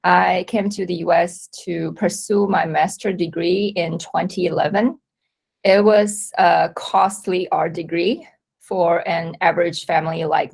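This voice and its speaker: A woman in her thirties, originally from Taiwan, giving a measured, reflective presentation at a company event. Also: on the low side, creaky. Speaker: company talk speaker. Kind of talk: prepared presentation talk.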